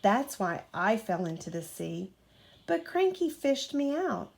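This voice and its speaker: A woman voicing a calm, honest male steam engine in a children's storybook, measured and matter-of-fact. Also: on the high side, gravelly, exaggerated voice. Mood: explaining.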